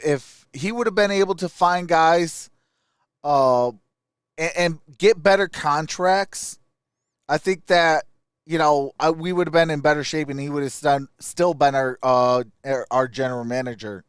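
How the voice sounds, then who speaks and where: Clear-voiced man in a dry close recording. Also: on the high side, moderate pace, dry recording. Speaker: live stream host. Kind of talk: casual sports commentary, answering viewer chat.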